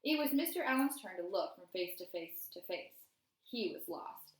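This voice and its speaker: A woman reading a children's story aloud as a teacher would, deliberate and expressive. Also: on the low side. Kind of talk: storybook read-aloud narration. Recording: roomy, echoey indoor sound.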